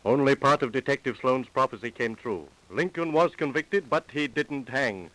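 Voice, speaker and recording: A man's voice: mid-range voice, moderate pace. A man reading scripted narration for a 1930s radio crime program. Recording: faintly hissy vintage radio recording.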